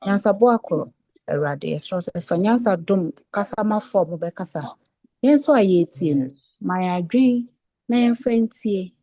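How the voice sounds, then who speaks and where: A woman's voice: mid-range voice, normal speed, warm tone, dry recording, low-quality recording. A woman with a Ghanaian accent leading a spoken prayer for a group.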